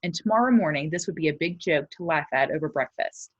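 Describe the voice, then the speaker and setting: A woman's voice: low-pitched, quick pace, breathy edge, dry recording, highs cut off. A woman schoolteacher explaining and reading a children's story aloud in simple, explanatory language for her pupils. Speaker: elementary school teacher.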